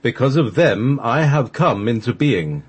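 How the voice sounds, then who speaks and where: Man putting on a loud, raised-voice divine Will. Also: medium pitch, animated, a little slow, husky, pitched above the performer's own voice. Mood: proud. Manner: loud solemn declaiming. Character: divine Will, a forceful, reverent spirit. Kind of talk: amateur radio play reading.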